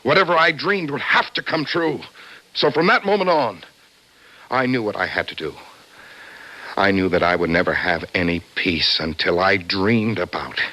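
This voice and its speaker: An actor playing an obsessed, vengeful office worker, intense and brooding, in an old-time radio suspense drama. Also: male voice, low voice, moderate pace, pushed and bright, poor audio. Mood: obsessive, intense. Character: obsessed, vengeful office worker confiding his dreams to a doctor. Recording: vintage radio drama, faint music behind.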